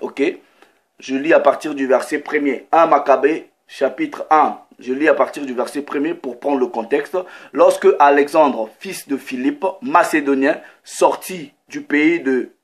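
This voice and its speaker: Man preaching, slow deliberate delivery, reads verses aloud, keeps adding "ok". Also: on the high side. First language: French. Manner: reads scripture slowly, breaks in with "ok" and comments. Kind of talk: sermon with Bible reading.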